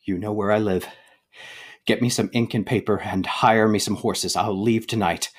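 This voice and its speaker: A man playing an anguished, resolute young nobleman in a dramatic reading of a stage tragedy, declaiming deliberately. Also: on the low side, fairly even intonation, husky, dry recording, clean studio sound. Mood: grim resolve.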